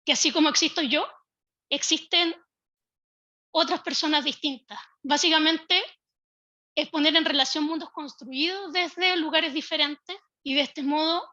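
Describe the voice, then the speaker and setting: A woman giving a measured, explanatory talk as a speaker from a public early-education institution. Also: high voice, fairly even intonation, sharp tone, no echo, dull audio. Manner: measured, explanatory, occasional restarts. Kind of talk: online panel talk.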